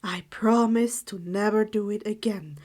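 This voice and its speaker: A woman's voice: mid-range voice, measured pace, darkish tone, lightly acted. Woman playing an earnest, kind teenage boy wizard. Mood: soft, apologetic.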